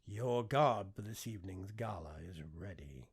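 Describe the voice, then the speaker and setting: A man's voice: low-pitched, lively intonation, unhurried, husky, voice pushed down, exaggerated voice. Subservient young avatar butler done by a man, courteous and composed, audiobook sci-fi. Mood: dutiful, composed. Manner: formal, polite, subservient. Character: deferential young male nanobot avatar servant. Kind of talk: audiobook character acting.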